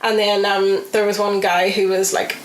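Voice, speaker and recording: A woman's voice: medium pitch, flat delivery, normal speed. A woman telling a casual story as a podcast guest, acting out reactions and quotes as she goes. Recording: roomy echo with faint noise.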